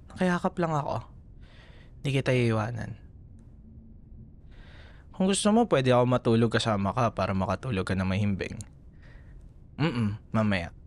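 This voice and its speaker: A man plays a tender Filipino boyfriend in an intimate ASMR roleplay. He murmurs slowly and affectionately. His mid-pitched voice moves with lively inflection. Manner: soothing, affectionate, soft murmurs. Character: gentle, doting young boyfriend comforting a scared partner.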